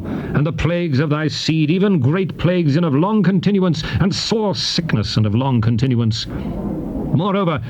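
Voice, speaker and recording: A man's voice: mid-range voice, lightly acted. Man acting a solemn prophet, noisy tape. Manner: grave, declamatory, measured oratory. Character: Moses, solemn male prophet warning his people of curses. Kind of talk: dramatized scripture reading, formal archaic English. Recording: noisy old cassette tape transfer.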